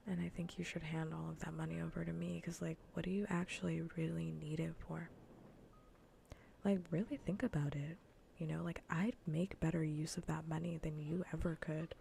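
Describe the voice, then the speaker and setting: A woman whispering softly and breathily in an ASMR gaming stream, playfully bossy and dryly sarcastic, close to the microphone. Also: low voice, little pitch movement, moderate pace, vocal fry, slight background noise. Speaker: livestreamer.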